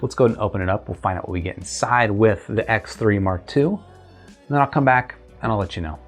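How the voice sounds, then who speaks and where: A man's voice: fairly low pitch, fast, faint music behind. A man giving a casual product review as host, conversational and thinking aloud as he goes. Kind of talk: casual unboxing and review talk.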